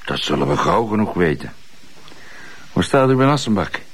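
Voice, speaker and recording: A man's voice: low voice, very animated, slightly rough, heavily acted. An actor playing a sly, money-grubbing male investigator with a Flemish accent and a smug, taunting manner. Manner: smug, taunting, drawn-out phrases. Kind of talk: television crime drama acting.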